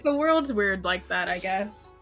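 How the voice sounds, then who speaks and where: A woman's voice: mid-range voice, animated, unhurried, a bit bright, faint music behind. A young woman co-hosting a podcast in casual chat, telling a personal story expressively and acting out her own reactions. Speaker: podcast co-host.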